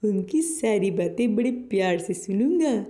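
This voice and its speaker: Woman voicing a brash, chatty cartoon parrot, mellow tone. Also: mid-range voice, lively intonation, a little slow, roomy sound, voice pushed down, close to an everyday voice. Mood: delighted. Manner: folksy, cheeky exclamations and buddy-like address.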